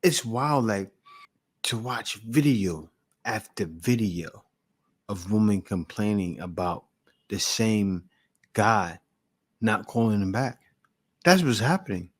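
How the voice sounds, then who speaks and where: A man's voice: fairly low pitch, lively intonation, slow pace, pauses often, breathy edge. Blunt man lecturing in reaction commentary. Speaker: reaction video host.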